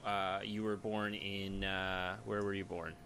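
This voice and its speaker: Low-voiced American man, joking host. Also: little pitch movement, measured pace, a bit bright, a little hiss or hum. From United States. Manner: joking, laughs often. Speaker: interviewer, host. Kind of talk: casual interview chat.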